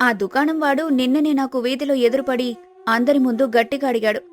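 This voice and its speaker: A woman playing a clever, patient young village wife, polite and persuasive, in an animated moral story. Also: sits high, fast, close to an everyday voice. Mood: polite regret. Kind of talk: acted moral story dialogue.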